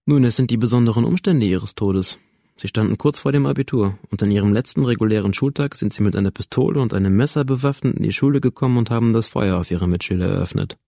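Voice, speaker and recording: An actor playing a clinical police researcher in a radio drama, speaking in a formal, matter-of-fact, bureaucratic way. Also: male voice, lowish voice, fast talker, creaky, mellow, no echo, clean studio sound. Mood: coolly factual. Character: coolly clinical male police researcher explaining a simulation.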